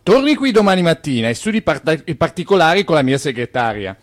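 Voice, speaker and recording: A sly, busy Italian banker in a radio drama. His voice sits fairly high and moves with lots of swing, wry and explanatory. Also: very animated, fast. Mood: wry, confident. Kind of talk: radio play acting.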